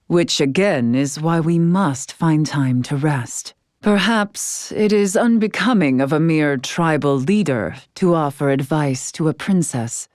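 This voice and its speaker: A woman's voice: sits low, a little mellow, no room sound, clean studio sound, exaggerated voice. An actress playing a composed, regal woman who leads a tribe in a video game, teasing at times, with formal, archaic phrasing. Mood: earnest, calm. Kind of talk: scripted video game voice acting.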